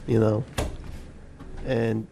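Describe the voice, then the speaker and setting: A man's voice: on the low side, level tone, extremely slow, gravelly, mellow, a little hiss or hum. A man co-hosting a casual podcast chat, telling a rambling story full of "like" and swearing. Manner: rambling, swears often, heavy use of "like". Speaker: podcast co-host.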